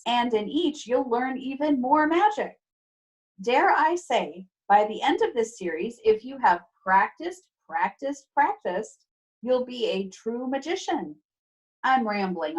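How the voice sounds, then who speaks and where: Slow, higher-voiced woman teacher reading aloud. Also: husky, low-quality recording. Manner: expressive, instructive storytelling with playful emphasis. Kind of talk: read-aloud of a children's book with casual asides to pupils. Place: remote video class.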